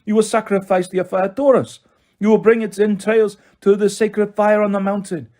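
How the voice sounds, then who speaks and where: A man's voice: high voice, level tone, moderate pace, a little mellow, pitched above the performer's own voice, natural voice. A man voicing a pompous, menacing male manticore villain with a French accent in a storybook reading. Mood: smug, commanding. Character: gloating villainous male manticore in military disguise.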